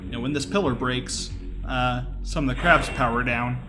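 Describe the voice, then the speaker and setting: Casting: male gameplay commentator, mid-range voice, casual and explanatory, clear game music underneath. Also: mid-range voice, moderate pace. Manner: explanatory, talking through the run as it happens. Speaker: speedrun commentator. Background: video game music.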